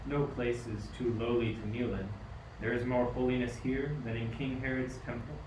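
Casting: man as learned elderly king, middle pitch, solemn radio drama, distant in a noisy room. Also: little pitch movement, moderate pace, a little mellow, reverberant, natural voice. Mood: reverent. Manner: solemn, declamatory. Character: wise, learned, devout old king. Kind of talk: amateur radio play acting.

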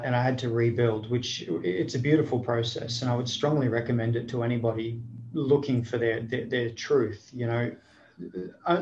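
A man's voice: mid-range voice, a little mellow, highs cut off, a little hiss or hum. A middle-aged man with an Australian accent, telling his life story as a podcast guest in a thoughtful, searching way with many restarts. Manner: thoughtful, frequent restarts and 'you know'. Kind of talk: reflective storytelling in conversation.

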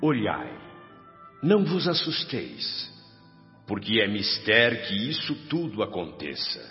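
An actor playing a noble, dignified old male spirit leader in a radio drama, speaking in a solemn, measured, oratorical way. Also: sits low. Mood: solemn, reverent. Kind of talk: radio drama acting, formal speech. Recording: dull lo-fi, long hall reverb, music. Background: music.

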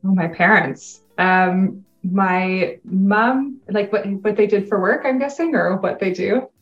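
A woman's voice: mid-range voice, slow pace, echoey room, muffled recording, soft background music. A Canadian woman in her thirties, a guest in an interview, talking casually and laughing often. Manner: upbeat, laughs often, chatty.

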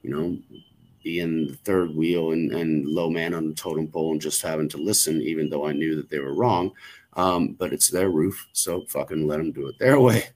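A middle-aged man with a deep, low voice talks on a podcast. He rambles and restarts often, swearing casually as he goes. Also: normal speed, a little mellow, poor audio. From United States. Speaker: podcast co-host.

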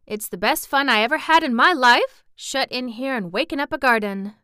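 Woman as a storybook country boy, twelve, Yorkshire dialect, cheerful, hardy, earnest, smooth clear tone, dry close sound. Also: high-pitched, normal speed, sharp tone, no room sound, pitched above the performer's own voice. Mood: eager, hearty. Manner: dialect speech, hearty and earnest.

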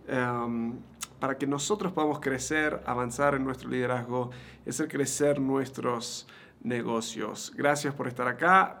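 A man's voice: mid-range voice, creaky. A man in his thirties with an Argentine Spanish accent, hosting an interview podcast in a conversational style. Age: 30s.

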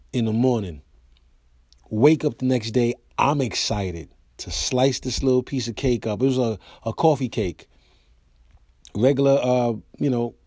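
An American man hosting his own podcast, telling comic stories in a loose, animated way. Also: medium pitch, no echo, studio quality. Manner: animated storyteller, acts out quoted voices. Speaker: podcast host and comedian. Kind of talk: casual storytelling monologue.

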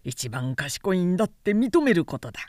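A woman's voice: fairly low pitch, big pitch swings, moderate pace, a little mellow, no echo, studio quality. A woman voicing a vain, boastful man who craves admiration, in a storybook reading. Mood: pompous. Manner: boastful, self-important.

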